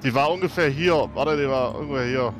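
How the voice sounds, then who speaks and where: A man's voice: mid-range voice, a little fast, background noise. A man talking casually as a player in a tabletop role-playing game. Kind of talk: casual in-character game chat. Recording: music bed under voice.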